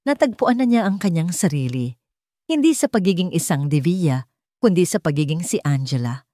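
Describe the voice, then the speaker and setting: A woman with a Filipino accent narrating a dramatic scripted story in measured, deliberate phrases. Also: lowish voice, lively intonation, dark tone, no echo, studio quality.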